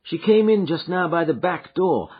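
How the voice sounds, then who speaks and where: A man voicing a worried, dutiful young woman in an audiobook reading, in his own husky voice. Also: fairly high pitch, animated, normal speed, voice pushed up. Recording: dull lo-fi recording.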